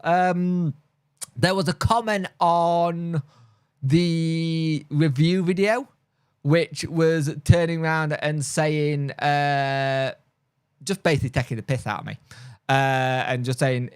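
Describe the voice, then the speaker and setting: A man's voice: fairly high pitch, clear, resonant tone, no room sound, studio quality. A man with a mild speech impediment, tired, hosting a casual live stream and chatting bluntly through viewer comments with lots of fillers and restarts. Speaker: football fan channel host.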